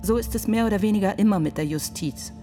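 A woman acting in a radio play as a sharp, indignant defence lawyer pleading in court, with a pointed, rhetorical manner. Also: medium pitch, fast, lightly acted. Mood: biting, indignant. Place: studio. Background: music.

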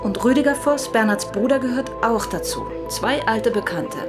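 An actress playing a brisk, commanding police investigator in a crime radio drama. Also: female voice, on the high side, over music, natural voice.

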